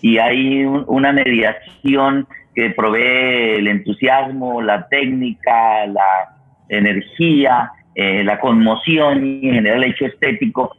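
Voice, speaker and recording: A middle-aged man with a Colombian accent, hosting a radio forum with halting, deliberate, reflective explanations as he searches for words. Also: medium pitch. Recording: thin, phone-like remote line.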